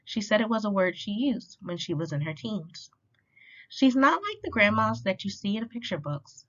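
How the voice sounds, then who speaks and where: Expressive woman reading aloud, lively pitch. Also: moderate pace. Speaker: storytime reader. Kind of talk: children's picture book read-aloud. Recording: dull lo-fi sound.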